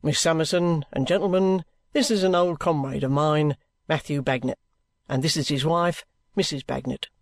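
A man's voice: a little high, moderate pace, no echo, close to an everyday voice. A man playing a blunt, courteous, stubbornly resigned trooper in a dramatized classic novel, speaking plainly and formally. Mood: cordial, earnest.

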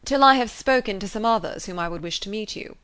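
A woman voicing a calm, dry-witted country gentleman who speaks in a measured, firm way. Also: mid-range voice, clear, resonant tone, voice pushed up, lightly acted. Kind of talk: audiobook character reading.

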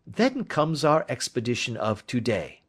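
A man's voice: mid-range voice, sing-song, measured pace, husky, voice pushed up. A man reading the part of a brilliant Victorian detective, who lectures in a precise, emphatic way. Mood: lecturing.

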